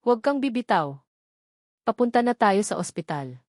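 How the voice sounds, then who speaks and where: A woman's voice: mid-range voice, big pitch swings, normal speed, a little mellow, no echo. An anxious young rich man , acted out by a woman narrator in an audio love story. He is protective and urgent, pleading and commanding by turns. The recording is dry and close. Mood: panicked. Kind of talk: dramatic audio story dialogue.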